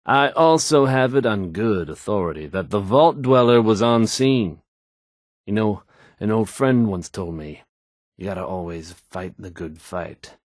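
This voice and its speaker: An actor playing an assured male radio host in a video game, speaking in a measured, sincere, quietly inspirational way. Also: lowish voice, lively intonation, no room sound, clean studio sound. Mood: earnest, hopeful. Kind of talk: in-game radio news acting.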